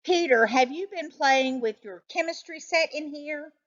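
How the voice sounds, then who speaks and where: A woman's voice: sits high, a little slow, a bit bright, highs cut off, voice pushed up. A woman voicing a startled, puzzled father in a children's storybook, exclaiming and questioning. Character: startled suburban father at breakfast.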